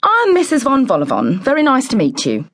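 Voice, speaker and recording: A haughty, snappish grown woman from a children's storybook, as a woman narrator puts her on. Her pitch jumps high and swings widely, with a curt bossiness under false manners. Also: big pitch swings, fairly quick, dry recording, clean studio sound. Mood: falsely polite. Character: huge, snappish, snooty neighbour woman with false politeness.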